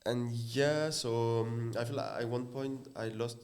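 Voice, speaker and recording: A young man with a French accent, a podcast guest speaking English as a second language, halting and full of fillers as he searches for words. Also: mid-range voice, fairly even intonation, darkish tone, slight background noise. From France. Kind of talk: casual podcast chat.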